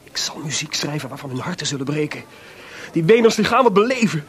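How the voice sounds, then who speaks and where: An actor playing a bitter, boastful, maudlin drunken composer in a Dutch radio drama, with a theatrical, volatile, rambling delivery. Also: male voice, on the high side, sing-song, fast talker, fairly bright tone. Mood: bitter, defiant.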